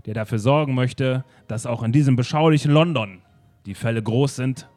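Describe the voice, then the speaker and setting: A man acting a detective in an improvised radio play, calmly laying out the case. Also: mid-range voice, moderate pace, faint music behind. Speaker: improv actor playing the detective.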